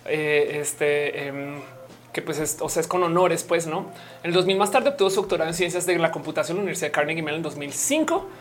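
A woman's voice: low-pitched, lively intonation, fast. A young woman from Colombia who speaks, hosting a casual livestream, chatty and playful as she reads comments and laughs often. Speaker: livestream host. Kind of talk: casual live-stream chat reading comments.

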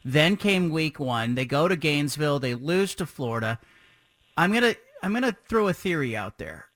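A man hosting a sports talk radio show, chatty and energetic, asking long rambling questions. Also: fairly high pitch, normal speed, no room sound.

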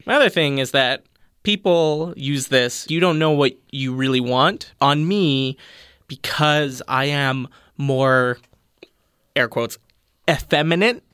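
A man's voice: medium pitch, slow pace, smooth, dry recording, studio quality. A young man with a slight lisp, talking earnestly and expressively in a casual panel discussion. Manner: expressive, animated, explaining earnestly. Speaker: panel guest.

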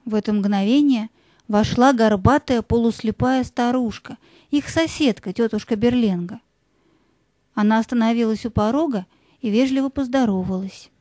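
A woman narrating a scripted fairy tale as an expressive storyteller. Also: fairly high pitch, normal speed, no room sound.

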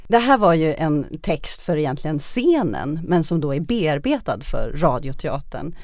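A woman podcast host presents and asks questions conversationally. Her voice moves widely in pitch and has a gravelly creak. The recording is dry and close. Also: big pitch swings, a little mellow, no echo.

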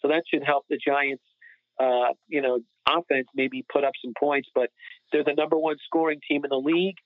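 An American man co-hosting a sports podcast, chatting casually in an animated, opinionated, colloquial way. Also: a little high, normal speed, no room sound. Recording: muffled phone-like connection.

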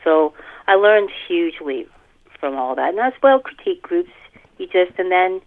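A woman's voice: fairly low pitch, expressive, moderate pace. A middle-aged woman author giving a casual, anecdotal interview over the telephone, with a self-deprecating manner. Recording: tinny narrow phone line.

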